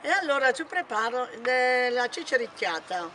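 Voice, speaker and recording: A woman's voice: sits high, big pitch swings, pushed and bright, slight background noise. An older woman with an Abruzzo Italian accent, a home cook explaining a recipe step by step in her kitchen. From Italy. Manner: slow step-by-step instructions. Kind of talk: cooking demonstration. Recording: echoey kitchen, distant mic.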